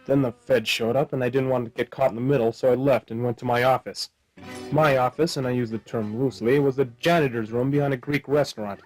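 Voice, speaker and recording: An actor playing a flippant, absent-minded hard-boiled detective in a radio play, with a deadpan, wisecracking delivery. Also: male voice, medium pitch, fast, faint music behind, lightly acted, rough recording. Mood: wry, deadpan. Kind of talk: noir radio play narration and dialogue.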